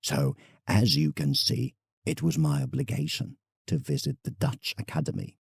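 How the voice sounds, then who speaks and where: A man's voice: mid-range voice, big pitch swings, normal speed, creaky, a little mellow, breathy edge, dry recording, clean studio sound, exaggerated voice. A man playing a proud, reflective English landscape painter in an audio drama, telling his travels formally as a letter to a friend.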